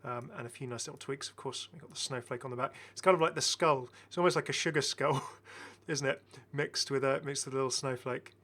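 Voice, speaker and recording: Guy doing chatty gaming commentary, bright punchy voice, rambles on appreciatively. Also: medium pitch, steady tone, a little fast, hardly any pauses. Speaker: gaming video host. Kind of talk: casual enthusiastic game commentary.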